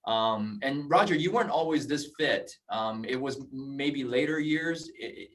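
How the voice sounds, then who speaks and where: A man's voice: medium pitch, lively intonation, a little slow, recorded from a distance, poor audio. A friendly man in his forties hosts a casual interview over a video call. He rambles and restarts his sentences. His voice sits a little back from the microphone. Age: 40s. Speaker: host.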